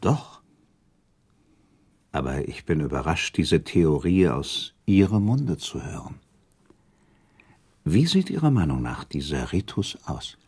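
An actor playing a thoughtful, self-doubting prince in a radio drama, speaking in courteous, elaborate formal phrases. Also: male voice, extremely low pitch, sing-song, slow, pauses often, darkish tone, slightly rough, exaggerated voice. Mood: conceding, questioning. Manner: formal, elaborate, with inserted asides. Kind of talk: German radio drama acting.

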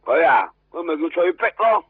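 A man's voice: on the high side, sing-song, normal speed. An actor playing a flustered, grumbling male friend in a Cantonese radio drama, complaining in exclamations with a Hong Kong accent. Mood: bewildered, reluctant. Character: flustered, grumbling male buddy, exasperated but obliging. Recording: dull old radio recording, faint music.

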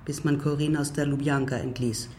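An actor playing a bitter old civil war veteran who speaks in measured, emphatic statements in a radio drama. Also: male voice, medium pitch, level tone, darkish tone, a little hiss or hum, natural voice. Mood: grave, bitter.

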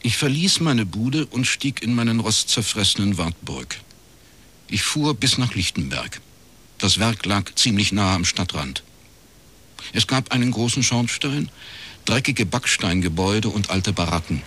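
A low, bright male voice with lively intonation as a world-weary detective in his forties. He narrates a radio crime drama in a laconic style, dry and ironic. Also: measured pace, slightly rough, full character voice. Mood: dry, matter-of-fact. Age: 40s. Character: wry, world-weary 49-year-old East German private detective, former academic.

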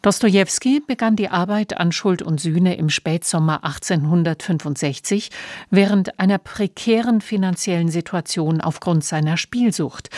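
Low-pitched woman announcer, dry studio sound. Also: darkish tone, dry recording. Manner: measured, factual. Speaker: radio announcer. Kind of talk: scripted narration, cultural radio introduction. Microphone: close studio microphone.

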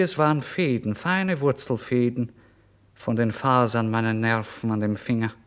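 A man's voice: medium pitch, close to an everyday voice. A male actor playing a despairing, tormented starving writer in a literary radio play monologue, theatrical in delivery. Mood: solemn, haunted. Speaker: actor narrating in first person.

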